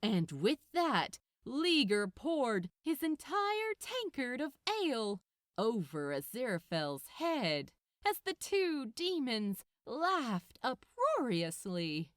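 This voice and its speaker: A woman narrating a story from a script in an expressive audiobook style, recorded close and dry. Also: fairly high pitch, slow pace, no room sound. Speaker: audiobook narrator of fan fiction.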